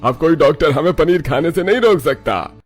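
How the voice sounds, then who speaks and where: A man voicing a jolly, food-loving father-in-law in a cartoon, loud and exclaiming. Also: on the high side, animated, moderate pace, husky, soft background music. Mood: hearty.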